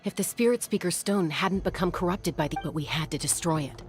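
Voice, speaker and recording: An actress playing a composed, serious traveler heroine in a video game, speaking in a measured, grave manner. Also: female voice, lowish voice, faint music behind, close to an everyday voice. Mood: apologetic. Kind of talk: English video game voice acting.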